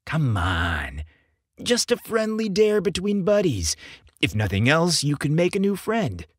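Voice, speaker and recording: A playful, teasing young man acted out by a male audiobook narrator eggs on a friend. His pitch bounces around while the words come slowly. The sound is dry. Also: huge pitch swings, dry recording, full character voice. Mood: playful goading. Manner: goading, playful. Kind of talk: audiobook character dialogue.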